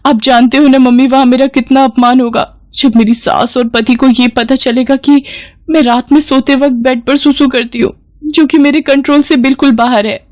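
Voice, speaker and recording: A woman's voice: high-pitched, fairly even intonation, moderate pace, darkish tone, no echo, pitched above the performer's own voice, natural voice. A woman voicing an anxious, pleading young bride-to-be in a story cartoon. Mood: worried.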